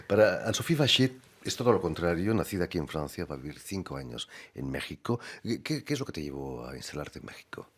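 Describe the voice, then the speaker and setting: A man hosting a radio interview in a studio, giving formal introductions and asking lively questions. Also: deep voice, fast, few pauses, slightly rough.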